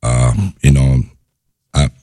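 A man from Italy with an Italian accent, speaking as a chef guest in a studio interview, earnest and halting with many fillers. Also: very deep voice, level tone, dark tone, no echo.

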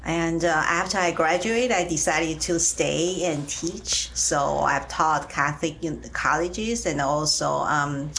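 A middle-aged woman from Taiwan, speaking as a guest in an interview, with frequent uh and um pauses. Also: low-pitched, fairly bright tone, slight background noise. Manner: hesitant, many uh and um pauses. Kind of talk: faith-sharing interview.

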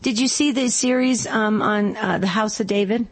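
Lady radio host, bit of a scratchy voice, medium pitch, chatty and gushy, takes calls. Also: normal speed. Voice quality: slightly scratchy voice. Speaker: radio call-in show host. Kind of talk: chatty, casual radio hosting.

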